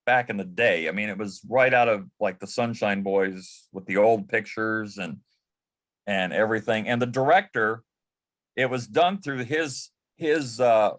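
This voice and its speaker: A man telling an anecdote casually as a panel guest, correcting himself as he goes. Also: medium pitch. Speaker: panel guest, former celebrity assistant.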